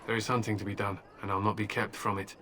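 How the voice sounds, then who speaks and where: An actor playing a curt, gruff male warrior leader in a video game, blunt and impatient. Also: lowish voice, flat delivery, fast, background noise, natural voice.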